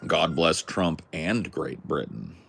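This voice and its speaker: A man's voice: a bass voice, expressive, slow pace, gravelly, fairly bright tone, husky. A young man from California with a Californian accent, chatting casually in a rambling, jokey, crude way. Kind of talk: casual chat.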